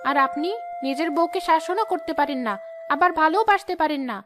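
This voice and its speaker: A woman's voice: very high voice, brisk, over music. A woman playing a vain, spiteful young sister-in-law in a melodramatic cartoon, haughty and taunting. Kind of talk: melodramatic cartoon story dialogue.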